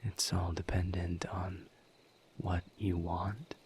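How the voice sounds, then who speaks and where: A man playing a calm, gentle, formal misery demon in an intimate roleplay audio, with a hushed, breathy voice and measured, deliberate phrasing. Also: deep voice, background noise. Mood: calm, explanatory. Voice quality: hushed, breathy near-whisper. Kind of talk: soft-spoken roleplay audio, intimate monologue to listener.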